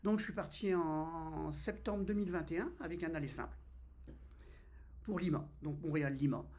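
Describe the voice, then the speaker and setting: A man in his fifties from France talks to camera in a relaxed vlog. His voice sits a little high, and he often pauses, fills gaps and restarts his sentences. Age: 50s. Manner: hesitant, many fillers and restarts. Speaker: travel vlogger. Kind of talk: casual first-person vlog.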